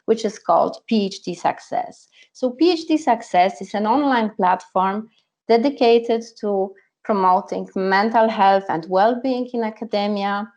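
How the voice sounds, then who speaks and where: A woman's voice: medium pitch, a little slow, darkish tone. A woman, a psychologist telling her story earnestly in an online talk. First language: Polish. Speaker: psychologist and coach. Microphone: video call. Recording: lo-fi video call audio.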